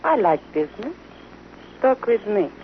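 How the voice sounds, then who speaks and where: Coy, teasing western temptress, muffled. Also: female voice, medium pitch, very animated. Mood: flirtatious. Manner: coy, playful, deliberate. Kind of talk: old-time radio western acting. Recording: muffled old radio recording, faint noise.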